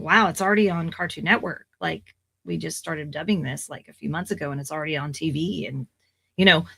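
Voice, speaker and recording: Podcast guest, woman in her forties, low-pitched and slightly raspy, rambling and chatty. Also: a bit bright. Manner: chatty, rambling, with fillers and restarts. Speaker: voice actor guest. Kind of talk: casual podcast interview.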